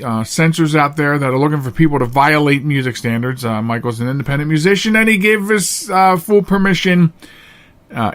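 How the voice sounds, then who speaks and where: Rambling male podcast host, higher-pitched voice. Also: fairly bright tone. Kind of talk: casual, chatty monologue to listeners.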